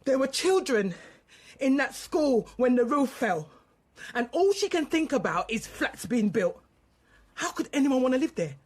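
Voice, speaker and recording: A woman's voice: high-pitched, very animated, a little slow, a little mellow, breathy edge, exaggerated voice. An actress playing an angry, grieving mother under police questioning, defiant and colloquial, in a naturalistic radio drama. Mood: indignant, hurt.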